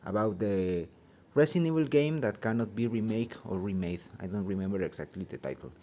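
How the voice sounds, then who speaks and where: Man, Mexican Spanish accent, low, warm tone, hesitant, restarts, heated opinion talk, phone mic. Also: lively intonation, fairly quick, a little hiss or hum. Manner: hesitant, many fillers and restarts, grows heated. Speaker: vlogger giving an opinion. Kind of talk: casual opinion monologue. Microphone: phone held by hand.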